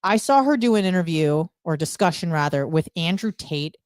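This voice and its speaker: A woman's voice: on the low side, animated, normal speed, a little mellow, no room sound, studio quality. A woman co-hosting a podcast in casual, unscripted chat, speaking bluntly and emphatically with crude humor. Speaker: podcast co-host.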